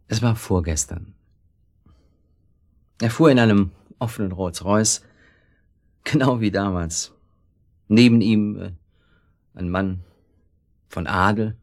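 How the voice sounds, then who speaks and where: An uneasy, sceptical husband in a naturalistic radio drama. His voice is on the low side, husky and full of creak. He comes across as impatient and dismissive. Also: full character voice. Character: sceptical, uneasy married city man on holiday.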